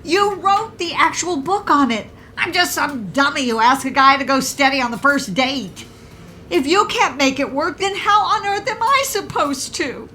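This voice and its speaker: High-voiced, flustered middle-aged mother. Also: expressive, moderate pace, bright, forceful tone. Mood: despairing. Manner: flustered, prim. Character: anxious, dramatic middle-aged mother on a cruise. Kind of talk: audio drama dialogue. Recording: faint noise behind the voice.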